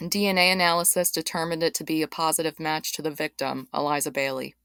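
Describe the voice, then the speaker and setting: A female homicide detective on the witness stand in an audio drama. Her voice is low and recorded dry. She gives formal, factual testimony without fuss. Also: level tone, fast talker, creaky, a bit bright, clean tone, no room sound. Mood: matter-of-fact. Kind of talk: courtroom audio drama acting.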